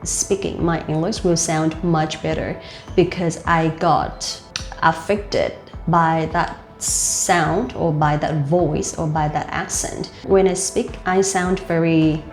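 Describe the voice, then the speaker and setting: Young Vietnamese-accented woman coach, lowish voice, loose chatty delivery, roomy room. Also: fairly even intonation, takes it slowly. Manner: loose, repetitive, many "like" fillers. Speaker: host and life coach. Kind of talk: casual speaking tips to camera. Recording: roomy with faint music.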